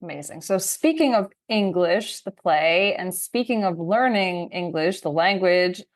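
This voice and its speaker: A woman with a fairly low voice hosts a podcast. She asks her guest questions in a friendly, encouraging way and keeps the talk light. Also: lively intonation, unhurried. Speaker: podcast host. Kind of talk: podcast interview.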